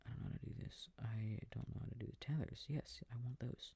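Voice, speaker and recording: A man softly rambling to himself as he plays a video game for a sleep aid, often dropping into whispers, with a breathy, gravelly voice close to the mic. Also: mid-range voice, steady tone, quick pace, no echo. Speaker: audio creator playing a video game. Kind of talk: soft rambling gameplay talk for a sleep aid. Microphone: close, dry microphone.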